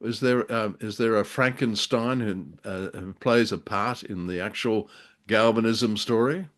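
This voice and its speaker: A man's voice: fairly low pitch, unhurried. A man co-hosting a podcast in casual conversation, with a curious, chatty, questioning manner. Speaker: podcast co-host.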